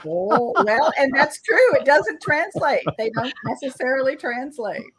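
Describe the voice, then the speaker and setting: A woman who coaches English communication, chatting in an interview over a video call and explaining her points clearly and with energy. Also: high voice, lively intonation, measured pace, a bit bright. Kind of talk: casual interview chat.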